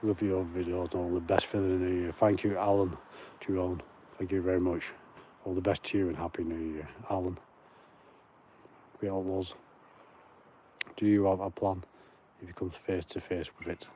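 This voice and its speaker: Man, broad Lancashire accent, low mellow voice, chatty livestream host, outdoors on the moors, phone mic. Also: level tone, normal speed, pauses often, slight background noise. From Lancashire, England. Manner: chatty, reads viewer comments aloud, greets viewers by name. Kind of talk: casual livestream chat while walking. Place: outdoors on moorland at night.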